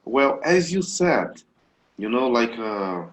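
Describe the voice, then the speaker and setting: Slow, halting Brazilian man on a call. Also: medium pitch, big pitch swings. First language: Portuguese. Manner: halting, many fillers and restarts. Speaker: webinar attendee asking a question. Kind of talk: live video call question. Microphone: video call.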